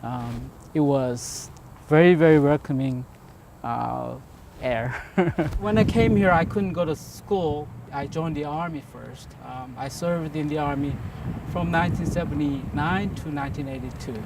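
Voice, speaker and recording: An older man from Korea, recalling his past in an interview. He pauses often and uses many fillers. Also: fairly high pitch, gravelly, heavy background noise. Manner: hesitant, many fillers, gentle laughs. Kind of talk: interview reminiscence.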